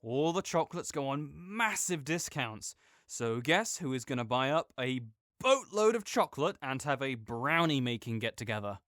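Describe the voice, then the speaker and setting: A man's voice: medium pitch, expressive, normal speed, fairly bright tone, dry recording, clean studio sound. A young man in an audio roleplay, talking as a supportive friend in a playful, reassuring way.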